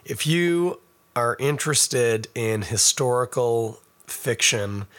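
A middle-aged Canadian man, hoarse and raspy from a cold, hosting a casual podcast and rambling in a self-mocking way to viewers. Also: medium pitch, fairly bright tone. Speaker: podcast host. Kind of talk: casual live-stream chat.

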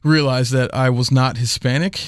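A man's voice: mid-range voice, steady tone, moderate pace, smooth, no echo, studio quality. A man talking casually as a podcast guest, rambling with many fillers and restarts. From Houston area. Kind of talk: casual conversation.

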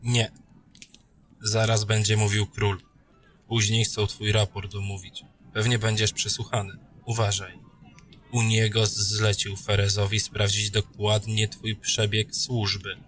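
A man's voice: lowish voice, level tone, a little slow, a bit bright. A man playing a blunt, irreverent soldier in a fantasy audio drama, talking in a sardonic, colloquial way. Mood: conspiratorial, scornful. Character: gruff, irreverent soldier comrade, sardonic and proud.

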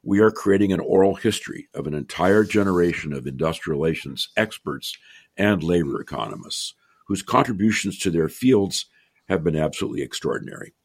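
A man's voice: sits low, lively intonation, fairly quick, breathy edge, no room sound. An older man hosting an interview podcast, reading a formal introduction and then asking questions in a chatty way, often repeating words. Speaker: podcast host.

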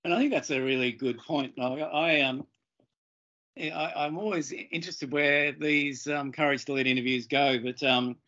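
A man hosting an interview with a croaky voice from a head cold, hesitant and repeating words as he frames questions. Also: a little high, normal speed, pushed and bright, dull audio. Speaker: interview host. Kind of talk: casual interview chat.

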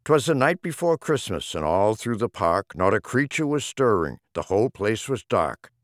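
A man's voice: on the low side, big pitch swings, normal speed, dry recording, studio quality. A man reading humorous rhyming poems as an audiobook narrator, with a theatrical, rhythmic delivery. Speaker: audiobook reader.

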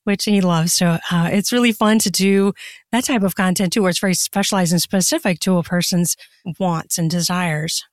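A woman's voice: lowish voice, steady tone, normal speed, dry recording, studio quality. A woman speaking as a podcast guest in casual interview chat, chatty and open as she explains things. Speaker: podcast guest, erotica author and narrator.

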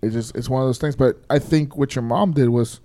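A man's voice: medium pitch, a little fast, warm tone. A man whose first language is Spanish, chatting casually and laid-back with friends, swearing freely. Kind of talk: casual group chat.

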